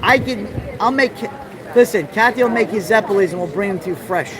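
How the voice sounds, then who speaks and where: A man's voice: sits high, a little fast, a crowd in the background. A middle-aged man with a New York accent, hosting a casual walking tour on a live stream. He chats loosely and greets his viewers by name. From New York City. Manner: chatty, greets viewers by name, rambling asides. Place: outdoor city street during a festival, raining. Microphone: handheld phone camera. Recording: street noise around a phone mic.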